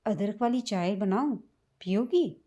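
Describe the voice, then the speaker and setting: A woman's voice: medium pitch, expressive, unhurried, mellow, highs cut off. A woman voicing a caring older husband in a dramatic story, speaking in a coaxing, earnest way. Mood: tender.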